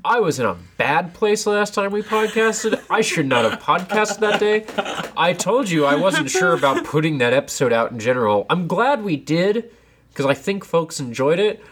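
A young man co-hosting a casual podcast from a home basement, a bit congested, animated and self-deprecating. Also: high-pitched, normal speed. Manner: animated, self-deprecating, sips tea while talking. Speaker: podcast co-host. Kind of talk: casual chat.

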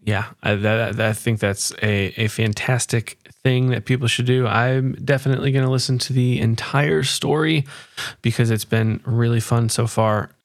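A man's voice: mid-range voice, steady tone, normal speed. A man hosting an interview podcast in a conversational, affirming and appreciative way.

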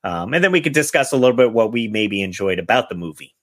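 Man, low voice, podcast host, casual chat, easygoing delivery. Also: big pitch swings, fast.

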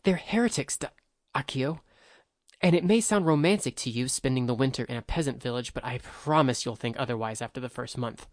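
A man's voice: mid-range voice, a little fast, no echo, clean studio sound, natural voice. A man reading a story, voicing a protective young woman who speaks in a tight, urgent, clipped voice.

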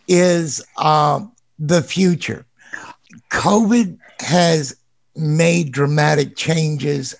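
Slow gravelly man with a measured delivery. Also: fairly high pitch, no room sound. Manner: slow and measured, hesitant with many uhs. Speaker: caller, organization president. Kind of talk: call-in question.

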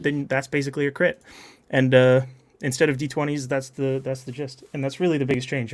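A man chatting casually in an upbeat, enthusiastic way, like a host talking with friends. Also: medium pitch. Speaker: podcast host and game master. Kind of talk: casual chat.

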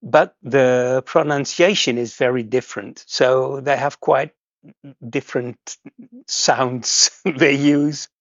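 A man from the Netherlands talks in relaxed, halting English, restarting often. The audio is dull and lo-fi with a dry, close sound. His pitch sits at an ordinary middle level. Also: no room sound. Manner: hesitant, halting, frequent restarts and laughs. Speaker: guest host. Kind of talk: casual chat.

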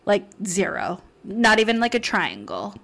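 A woman's voice: mid-range voice, very animated, measured pace, creaky, fairly bright tone. A woman co-hosting a podcast in casual chat, playful and opinionated, laughing often. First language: not English. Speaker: podcast co-host.

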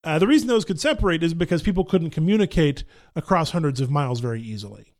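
A man's voice: a little high, expressive, fast talker, a little mellow. A man co-hosting a casual podcast, explaining ideas at length while hedging that he is no expert. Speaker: podcast co-host. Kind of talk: casual chat.

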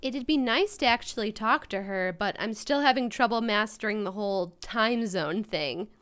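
An actress playing a blunt, sarcastic young American daughter in a naturalistic modern audio drama, quick to retort. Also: female voice, medium pitch, a bit bright, clear, resonant tone, close to an everyday voice. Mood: casual.

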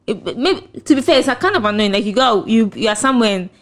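A woman's voice: fairly high pitch, lively intonation, fast talker. A young woman with a Ghanaian accent, casually chatting as a podcast co-host, reading out and reacting to listener posts with frequent laughter. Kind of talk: casual chat.

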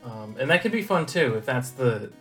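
A young man with an average-pitched voice co-hosts a casual podcast about games. He talks in a relaxed, thoughtful way with dry asides while faint music runs underneath. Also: normal speed. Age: young adult. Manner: relaxed, thoughtful, wry humor. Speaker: podcast co-host. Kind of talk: casual game discussion.

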